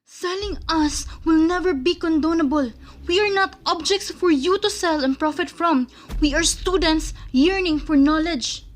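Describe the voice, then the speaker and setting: A woman's voice: very high-pitched, moderate pace. A woman playing a resolute young student leader in an amateur radio drama, with a faintly noisy home recording. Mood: defiant.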